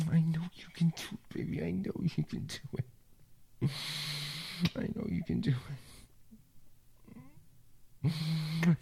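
Slow, breathy male whisperer, deep and raspy, for intimate roleplay. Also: dark tone. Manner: breathy, murmured, heavy with sighs. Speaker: audio roleplay performer.

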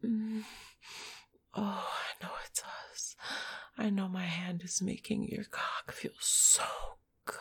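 A woman performing an intimate whispered audio roleplay in a soft, breathy, teasing and coaxing manner. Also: mid-range voice, a little hiss or hum.

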